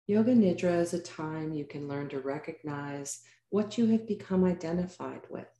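Female meditation teacher, mellow and calm, measured phrasing with pauses, roomy echoing sound. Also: low-pitched. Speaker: yoga nidra meditation teacher. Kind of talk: guided meditation narration.

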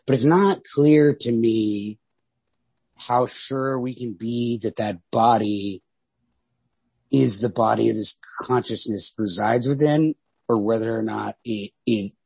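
A man's voice: mid-range voice, slow, creaky, a little mellow, breathy edge, no room sound. A man co-hosting a podcast, discussing literature casually and thinking aloud in a tentative, hedging way. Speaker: podcast co-host.

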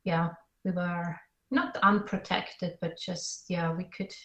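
A woman's voice: lowish voice, fairly even intonation, slow, a bit bright. Thoughtful Swiss woman in an echoey room. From Switzerland. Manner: thoughtful, hesitant, with frequent restarts. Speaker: podcast guest. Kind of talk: interview conversation.

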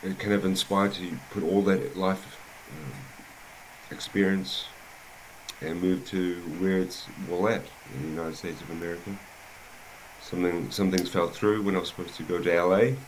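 A man with a New Zealand accent, a rugby coach telling his life story as an interview guest, in an unhurried way with many pauses. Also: sits low, level tone, slight background noise, low-quality recording.

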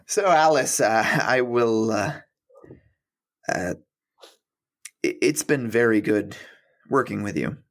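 A man acting in an audio play as an awkward, hesitant mapmaker who speaks in a halting, stammering way. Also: fairly low pitch, lively intonation. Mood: flustered, awkward. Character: awkward, hesitant male mapmaker leaving his job.

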